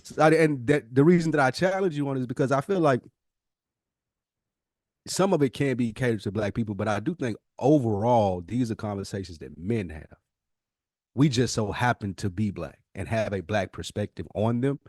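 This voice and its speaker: A man's voice: medium pitch, moderate pace, a little mellow, no room sound, studio quality. A man co-hosting a podcast in casual conversation, with a laid-back, slangy way of talking. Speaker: podcast co-host.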